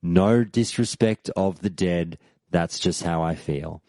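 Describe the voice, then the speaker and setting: A man hosting a podcast and giving his opinion in a measured, deliberate monologue with firm emphasis. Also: sits low, mellow, dry recording. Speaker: podcast host.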